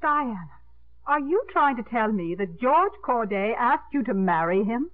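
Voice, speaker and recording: A woman's voice: fairly high pitch, big pitch swings, a little slow. An actress playing a wry, exasperated mother with a dry, sardonic manner in a 1950s radio comedy-drama. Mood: taken aback. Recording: dry, narrow-band old radio recording.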